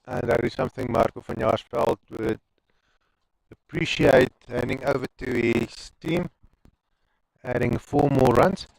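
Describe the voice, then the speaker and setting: A man's voice: medium pitch, a little slow, creaky, long pauses, no echo, low-quality recording. A man with an Afrikaans accent giving chatty live commentary on an amateur cricket match, wandering into rambling asides between balls. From South Africa.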